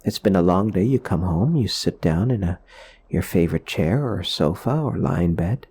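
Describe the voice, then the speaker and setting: A man leading a guided meditation in a soothing, gentle, explanatory way, leaving pauses between phrases. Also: sits low, lively intonation, breathy edge.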